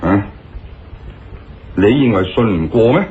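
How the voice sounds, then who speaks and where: Brusque police colonel, lowish voice. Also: male voice, animated, slow, darkish tone, highs cut off. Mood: argumentative. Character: brusque, sceptical male police colonel giving orders. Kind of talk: Cantonese radio drama acting.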